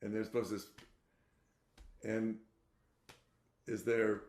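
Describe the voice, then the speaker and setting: A man guiding a client through a therapy session over a video call, with gentle, unhurried prompts and many affirming murmurs. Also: lowish voice, little pitch movement, roomy sound.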